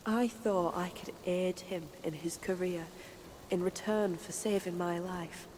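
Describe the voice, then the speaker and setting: A woman's voice: on the low side, steady tone, a little slow, a little mellow, natural voice. A woman playing a sorrowful fox spirit in a game, telling her tale in a formal, beseeching manner. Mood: sorrowful, wistful. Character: sorrowful, pleading female fox spirit in human guise. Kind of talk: dramatic game voice acting, storytelling. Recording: long hall reverb, clear background noise.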